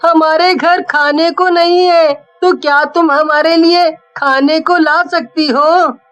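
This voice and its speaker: A woman voicing a poor, caring young schoolboy in an animated storybook tale, speaking in an earnest, childlike way. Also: very high voice, slow, lo-fi sound, exaggerated voice. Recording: music behind the voice.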